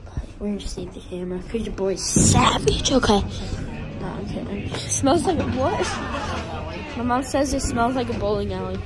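A man's voice: extremely high pitch, animated, normal speed, a loud crowd, heavy background noise. A teenage boy vlogging casually on a phone, joking and hyped with slang, in a car and a bowling alley. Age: teens. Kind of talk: casual vlog chat. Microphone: phone held at arm's length.

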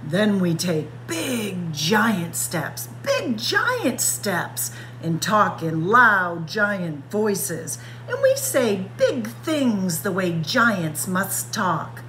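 A woman reads a picture book to young children at a very slow, careful pace. Her pitch moves in lively swings as she draws out and acts out the words. There is a faint noise and hum behind her in the room. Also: low-quality recording. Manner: expressive, child-directed delivery, stretching and acting out words. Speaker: librarian reading aloud for children. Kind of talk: picture-book story time read-aloud. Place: indoors, library room with bookshelves.

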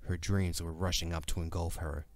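Deep-voiced male story narrator. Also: level tone, moderate pace, a little mellow. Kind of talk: scripted dramatic story narration.

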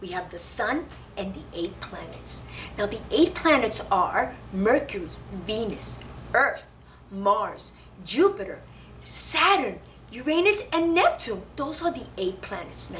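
A woman, perhaps in her thirties, a preschool teacher talking to small children in an animated, simple way with lots of questions. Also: medium pitch, unhurried, a little hiss or hum. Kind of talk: children's lesson and read-aloud. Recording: roomy, echoing, distant microphone, faint music.

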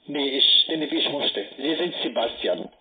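A man's voice: mid-range voice, expressive, moderate pace. Curt foreign-accented man from call center. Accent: foreign accent in German. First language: not German. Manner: curt, confrontational. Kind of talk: argumentative phone call. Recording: tinny phone line, busy call center.

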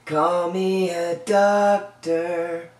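A man's voice: high-pitched, level tone, extremely slow, faint music behind. A man in his twenties performing a scripted comedy phone call in a theatrical way, as if quoting song lyrics. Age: 20s. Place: small bedroom indoors. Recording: roomy echo, faint hiss, camera mic.

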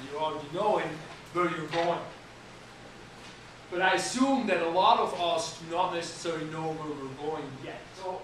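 A man, giving an animated classroom lecture as an anatomy instructor and often restarting his sentences. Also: high voice, slight background noise. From Switzerland. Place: college classroom, indoors. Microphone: far camera at the back of the room. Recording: echoey classroom from far off.

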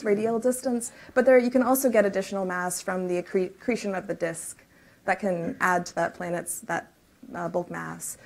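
A woman's voice: medium pitch, vocal fry, darkish tone. A woman presenting research at a conference talk, explaining step by step with some fillers, then answering audience questions. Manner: explains in measured technical steps with fillers. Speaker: research speaker. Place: lecture room.

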